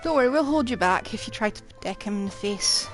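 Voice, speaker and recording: A woman's voice: mid-range voice, lively intonation, fast talker. A woman streaming a game, giving casual, offhand reaction commentary with wry asides. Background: game music.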